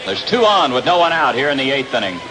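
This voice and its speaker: A man's voice: medium pitch, sing-song, fast, a crowd in the background. A man with an American accent calls live baseball play-by-play on a noisy 1960s radio broadcast from an outdoor ballpark. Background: loud ambient ballpark noise.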